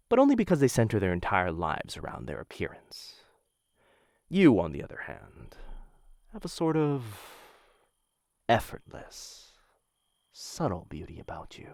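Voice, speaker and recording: A man playing a menacing, infatuated assassin in a boyfriend ASMR roleplay, speaking low, hushed and intimate into a close microphone, teasing and often slipping into whispers. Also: no room sound, exaggerated voice. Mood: tender, infatuated. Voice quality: low, gravelly.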